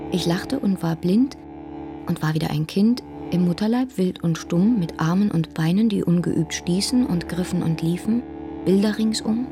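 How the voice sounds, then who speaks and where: Creaky woman reciting poetry over music. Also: lowish voice. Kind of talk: dramatic poetry recitation for radio.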